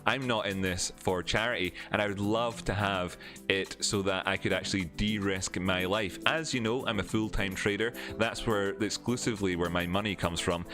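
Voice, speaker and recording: A man's voice: fairly low pitch, steady tone, moderate pace. A Scottish man hosting a trading livestream, chatting casually with viewers and frankly repeating words for emphasis. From Scotland. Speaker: crypto trading livestream host. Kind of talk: casual livestream chat reading viewer comments. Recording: faint music under the voice. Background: faint background music.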